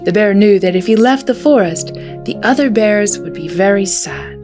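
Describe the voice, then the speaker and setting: A woman's voice: medium pitch, expressive, moderate pace. Woman reading a storybook, music behind. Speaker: children's librarian hosting storytime. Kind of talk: read-aloud picture book storytelling for children.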